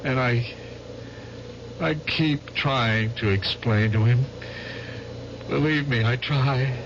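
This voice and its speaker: Tormented, deluded veteran, radio play; halting, obsessive repetition, muffled sound. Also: male voice, medium pitch. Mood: anguished, haunted. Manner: halting, repeating himself obsessively. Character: guilt-haunted war veteran, desperate and deluded. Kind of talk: old-time radio drama acting. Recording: muffled noisy radio drama recording.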